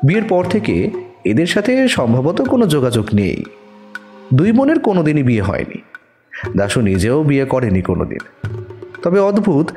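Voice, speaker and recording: A man narrating a scripted detective story in the first person, expressive and suspenseful. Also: medium pitch.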